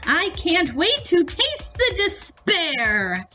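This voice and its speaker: A woman's voice: very high-pitched, huge pitch swings, slow, bright, forceful tone, soft background music, voice pushed up, full character voice. A woman voicing a bossy, gleefully evil, self-important female mastermind, commanding and theatrical, in a story reading. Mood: gloating.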